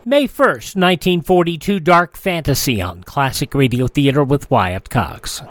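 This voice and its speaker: A man's voice: a little high, animated, unhurried. A man hosting a classic radio show, introducing programs.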